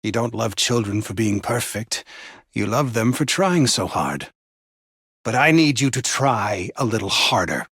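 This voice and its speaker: An actor playing an intense, manipulative mentor, theatrical and condescending, with a husky voice, in dramatic villain voicelines. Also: male voice, lowish voice, animated, measured pace, fairly bright tone, dry recording, studio quality, full character voice. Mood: coaxing, pressing. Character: intense, demanding male mentor, manipulative and passive-aggressive.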